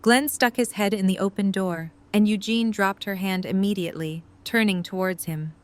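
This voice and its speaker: A woman's voice: medium pitch, fairly even intonation, moderate pace. A woman reading scripted story narration for an audio drama.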